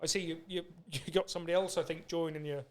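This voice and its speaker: A man's voice: a little high, steady tone, fast. A British man hosting a podcast in a casual chat, talking in an informal, rambling, matey way. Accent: British English. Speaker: podcast host.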